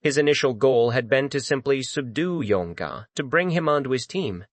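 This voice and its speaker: A man's voice: medium pitch, animated, moderate pace, no room sound. A man reading dramatic scripted fantasy narration as an audiobook narrator.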